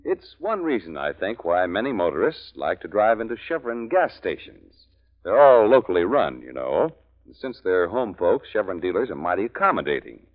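A man's voice: deep voice, sing-song, normal speed. A man announcing on 1940s radio, reading sponsor copy and credits in a polished, folksy sales delivery. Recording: muffled old radio broadcast with music.

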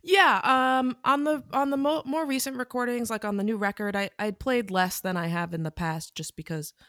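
A woman in her thirties, a musician guest on a podcast, talking in a laid-back way with soft laughs and many ums. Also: fairly high pitch, fairly even intonation, moderate pace, no room sound, clean studio sound. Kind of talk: casual interview chat.

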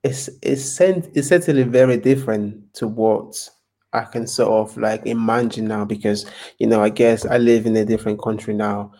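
Guy from nigeria guesting on a podcast, lowish voice, lots of ums, thinks as he talks. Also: steady tone, moderate pace, darkish tone. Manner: hesitant, thoughtful, many ums and restarts. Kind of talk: casual interview chat.

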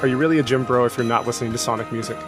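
A man's voice: medium pitch, steady tone, rapid-fire. Playful man chatting over music. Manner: playful, jokey. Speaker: podcast co-host. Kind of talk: casual chat.

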